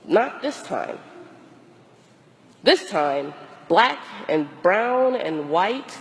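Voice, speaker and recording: A hoarse, tired woman priest with a cold gives a slow, deliberate sermon with long pauses. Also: medium pitch, very animated, in a large hall. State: hoarse, losing her voice from a cold; exhausted. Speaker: Episcopal priest. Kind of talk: reflective sermon.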